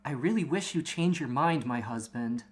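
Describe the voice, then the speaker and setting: A man's voice: mid-range voice, lively intonation, moderate pace, close to an everyday voice. A man voicing a worried, loving warrior's wife in a storybook reading, earnest and pleading.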